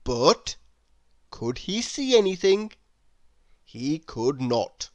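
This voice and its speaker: A woman reads a children's story aloud with very animated intonation. She takes her time, and the recording is dry and close. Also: low-pitched, extremely slow, no echo. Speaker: storybook reader.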